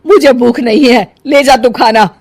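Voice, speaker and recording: एक महिला की आवाज़ में भावुक, तुनकमिज़ाज बूढ़ी सास, ऊँचा सुर. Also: female voice, on the high side, moderate pace, a little mellow, dry recording, studio quality. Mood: irritated, sulky. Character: elderly mother-in-law Usha, sulky, emotional, softening. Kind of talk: cartoon moral story character acting.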